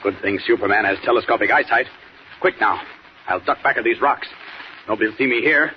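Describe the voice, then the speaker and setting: A man's voice: on the low side, fairly quick, close to an everyday voice. Superhero lead , male actor: authoritative, fearless, vintage radio drama delivery, muffled hissy sound. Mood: determined. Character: bold, commanding male superhero. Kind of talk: 1940s radio serial acting. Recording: muffled, noisy 1940s radio recording.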